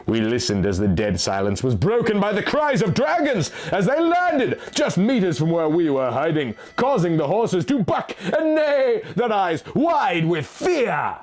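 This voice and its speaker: A man's voice: sits high, huge pitch swings, measured pace, few pauses, a little mellow. A man reading a fantasy story aloud as dramatic, theatrical scripted narration. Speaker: audiobook reader.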